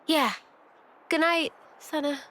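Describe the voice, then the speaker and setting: A woman playing a reserved, gentle young woman in a video game cutscene, speaking in a hesitant, understated way. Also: sits high, takes it slowly.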